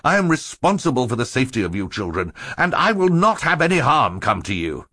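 A man's voice: on the low side, expressive, normal speed, a bit bright, husky, no echo, clean studio sound, full character voice. A man voicing a fussy, officious middle-aged guardian in a storybook, pompous and admonishing. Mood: firm, alarmed.

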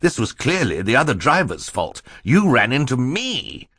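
A man reading an audiobook as a fussy, stern, easily flustered gentleman who admonishes pompously. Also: medium pitch, huge pitch swings, moderate pace, breathy edge, no room sound, studio quality, pitched above the performer's own voice, full character voice. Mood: put out. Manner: admonishing, pompous, punctuated by coughing. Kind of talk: audiobook character acting.

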